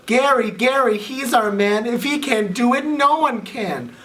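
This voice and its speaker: A man's voice: very high voice, lively intonation, unhurried, a bit bright, voice pushed up, exaggerated voice. A man voicing a group of cheerleaders in a storybook reading, with a sing-song chant. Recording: voice a bit far from the mic.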